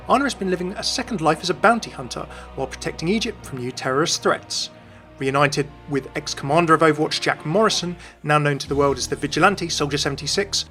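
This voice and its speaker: Casting: British male gaming host, slightly high voice, rapid scripted delivery over a light music bed. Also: a bit bright. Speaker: video host. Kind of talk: scripted narration, game commentary.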